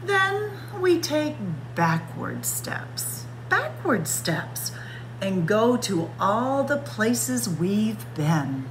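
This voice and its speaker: Very slow, expressive woman reading a storybook. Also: a little high, background noise. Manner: expressive, child-directed delivery, stretching and acting out words. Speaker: librarian reading aloud for children. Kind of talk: picture-book story time read-aloud. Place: indoors, library room with bookshelves.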